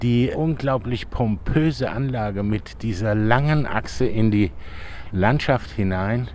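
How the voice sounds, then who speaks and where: A man with a lowish voice explains things at a very slow, easygoing pace. He is the interviewer and is recorded outdoors in a park. A faint hiss sits under the recording. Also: a little mellow. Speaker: interviewer, local guide. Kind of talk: casual outdoor conversation.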